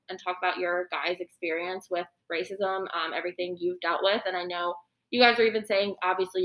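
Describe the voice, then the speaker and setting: A woman's voice: lowish voice, fairly bright tone, poor audio. A woman hosting a podcast, telling stories in a rambling, giggly way. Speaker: podcast host. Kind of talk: casual chat.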